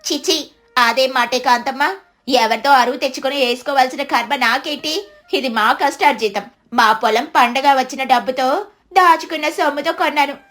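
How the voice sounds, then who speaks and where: A woman's voice: high-pitched, fairly quick, a bit bright, faint music behind. A woman voicing an eager, vain village wife in a dramatic storybook tale. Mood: boastful.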